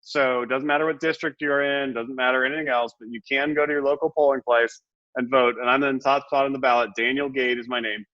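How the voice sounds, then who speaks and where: A man's voice: medium pitch, steady tone, quick pace, bright, forceful tone, no echo. Earnest, impassioned man on muffled audio. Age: middle-aged. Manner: impassioned, repeats and restarts words. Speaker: Senate candidate, guest. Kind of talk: earnest interview talk.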